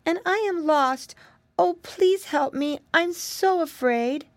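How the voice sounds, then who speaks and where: Woman as a plaintive, high-voiced lost little girl. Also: very animated, takes it slowly, no echo, heavily acted. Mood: tearful, afraid. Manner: plaintive, sobbing. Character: lost little girl, gentle, timid and frightened. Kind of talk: children's storybook character voice.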